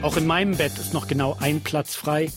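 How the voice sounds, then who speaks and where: A man's voice: fairly high pitch, fairly even intonation, fairly quick. A man hosting a podcast, reading scripted first-person documentary narration. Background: guitar music.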